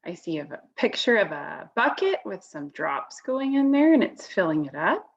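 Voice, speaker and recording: A woman reading a picture book aloud to children, expressively, pausing to comment and ask simple questions. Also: mid-range voice, creaky, lo-fi sound. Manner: expressive, asks simple questions of young listeners. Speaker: storybook reader.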